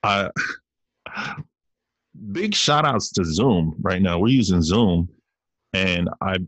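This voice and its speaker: A man's voice: deep voice, expressive, takes it slowly, a bit bright, no echo. A man of Samoan heritage hosting his own podcast, rambling playfully and talking straight to his listeners in a casual solo rant. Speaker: podcast host. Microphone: computer recording via video call app.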